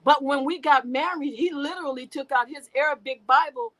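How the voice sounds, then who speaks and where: A middle-aged woman candidly telling her life story as an interview guest, halting and restarting, often laughing. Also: high-pitched, pushed and bright.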